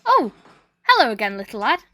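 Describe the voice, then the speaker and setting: A woman's voice: fairly high pitch, very animated, a little fast, pushed and bright. A woman playing a motherly, nosy household cook in an amateur comedy murder mystery. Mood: friendly, teasing.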